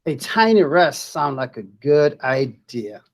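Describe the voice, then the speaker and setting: A woman voicing an eager but nervous young boy in a children's storybook, speaking earnestly and deliberately. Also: a bass voice, sing-song, voice pushed down, heavily acted. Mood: hesitant.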